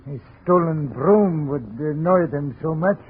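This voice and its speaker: An actor playing an anxious older immigrant gallery owner, speaking in halting, earnest phrases in a radio drama. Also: male voice, on the high side, lively intonation. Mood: aggrieved. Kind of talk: 1950s radio drama acting. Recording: muffled, noisy old radio recording.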